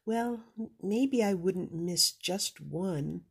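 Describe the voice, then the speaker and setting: A woman's voice: on the low side, takes it slowly, gravelly, slightly rough, pitched above the performer's own voice, full character voice. Woman putting on a vain, haughty male fish. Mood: wavering. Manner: haughty, dramatic.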